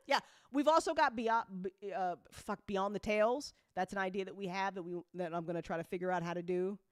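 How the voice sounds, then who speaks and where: A woman hosting a casual livestream chat as an audio drama creator, animated and joking, with informal, sweary talk full of y'all. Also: medium pitch, fairly quick, no echo, studio quality. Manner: informal speech with frequent y'all. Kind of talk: casual livestream chat answering audience questions.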